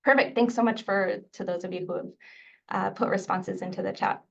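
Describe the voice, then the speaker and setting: A woman's voice: medium pitch, steady tone, a little fast, gravelly, far from the mic. A middle-aged woman from Mexico, Spanish her first language, gives an earnest, conversational mentoring talk full of fillers. Her voice comes through a video call, set back from the mic and slightly dull. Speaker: infectious disease physician and mentor. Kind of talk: webinar talk on presenting. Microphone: video call microphone.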